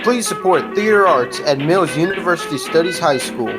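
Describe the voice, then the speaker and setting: A woman's voice: on the low side, animated, normal speed. A teenage female student drama performer delivering a scripted appeal to the audience. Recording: music behind the voice.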